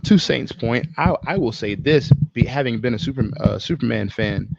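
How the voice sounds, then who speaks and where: A man co-hosting a podcast, telling stories in an animated, slangy, casual way with plenty of swearing. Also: mid-range voice, moderate pace, gravelly, dry recording, muffled recording. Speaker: podcast co-host. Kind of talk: casual chat and storytelling.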